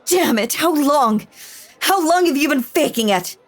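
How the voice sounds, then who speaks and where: A woman's voice: sits high, expressive, unhurried, no room sound, faint music behind. A woman playing a possessive, sinister stage magician mistress in an audio roleplay, theatrical and full of silky menace. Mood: furious, betrayed. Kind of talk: dramatic audio roleplay acting.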